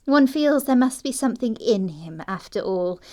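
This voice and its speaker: A woman plays a prim young society girl in a classic comedy audio drama. Her voice is high and her delivery precise and theatrical. She sounds dreamy yet completely self-assured. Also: lively intonation, moderate pace, mellow, close to an everyday voice. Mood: dreamy, matter-of-fact.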